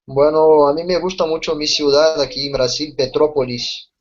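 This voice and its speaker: A man's voice: fairly high pitch, steady tone, normal speed. Man student on a dull call line. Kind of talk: answering in a class.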